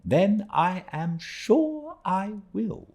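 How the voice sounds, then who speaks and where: A man voicing a brisk, kindly older English gentlewoman in an audiobook, crisp, decisive and formal. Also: high-pitched, sing-song, pitched above the performer's own voice, full character voice. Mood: brisk, good-natured. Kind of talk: audiobook character reading.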